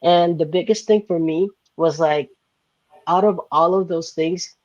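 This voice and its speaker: A man's voice: fairly high pitch, fairly even intonation, measured pace, darkish tone, no echo, highs cut off. A young man from the Philippines answering interview questions casually, explaining with lots of fillers and "right?" checks. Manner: loose, filler-heavy explaining with frequent "like" and "right?". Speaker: guest entrepreneur.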